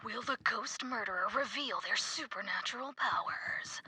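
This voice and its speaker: A woman voicing an excitable young true-crime podcaster in a video game, with a raspy voice and theatrical, breathless delivery. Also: a little high, normal speed, poor audio. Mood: dramatic, ominous. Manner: theatrical, breathless dramatic narration.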